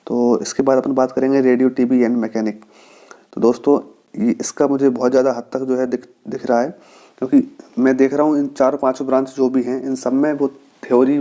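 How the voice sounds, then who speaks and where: A man's voice: medium pitch, mellow. A man with an Indian accent giving an informal, instructive lecture to students, as a teacher on an exam-prep channel. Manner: instructive, reads out topic lists and explains them.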